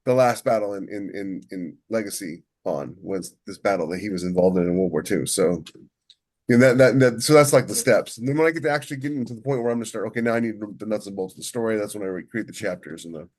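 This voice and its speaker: A man chatting casually as a podcast co-host and writer, halting and restarting as he thinks aloud. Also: lowish voice, darkish tone. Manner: halting, thinks aloud with many repeated false starts. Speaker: podcast co-host and science fiction author. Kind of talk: casual chat about book writing.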